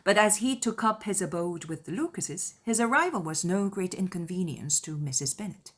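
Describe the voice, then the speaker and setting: A woman narrating a literary audiobook in a measured, expressive storytelling manner. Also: fairly low pitch.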